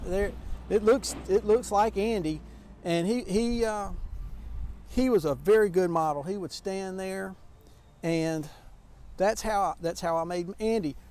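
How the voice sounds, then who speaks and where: A man's voice: sits high, darkish tone, slight background noise. A sculptor talks children through his outdoor sculptures in a folksy, chatty way, full of restarts and fillers. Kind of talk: outdoor walking tour talk to children.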